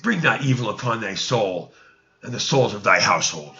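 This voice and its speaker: A man's voice: fairly low pitch, animated, normal speed, gravelly, pushed and bright, voice pushed down, full character voice. A man voicing an indignant, righteous son declaiming against idols in a scripture reading, in a lo-fi recording. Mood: reproachful pleading. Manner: declamatory, exhorting. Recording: dull, lo-fi sound.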